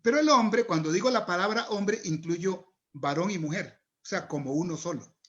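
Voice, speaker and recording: A man's voice: sits high, normal speed. A man, an interview guest, explaining scripture step by step in a didactic, teaching way. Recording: muffled lo-fi audio.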